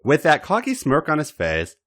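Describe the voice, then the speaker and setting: A man hosting a podcast and narrating erotic fiction in a theatrical, drawn-out way. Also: a little high, wildly swooping pitch, a bit bright. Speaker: podcast host and story reader.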